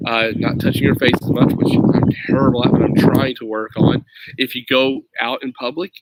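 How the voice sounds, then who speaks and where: A man who teaches school, talking casually to young viewers outdoors with expressive, emphatic phrasing and simple, encouraging address. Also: mid-range voice, moderate pace, heavy background noise. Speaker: schoolteacher reading to children. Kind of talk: storybook read-aloud and casual chat to young viewers. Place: outdoors on a lawn.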